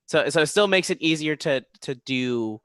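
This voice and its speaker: A man who acts, chatting casually in a halting, hesitant way. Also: fairly high pitch, lively intonation, a bit bright, smooth, no room sound, clean studio sound. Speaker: stage actor. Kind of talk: casual chat.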